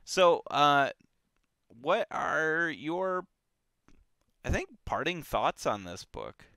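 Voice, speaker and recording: A man co-hosting a book club podcast, talking in a relaxed, halting way with many fillers and restarts. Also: a little high, vocal fry, no echo, studio quality. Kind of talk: casual book discussion.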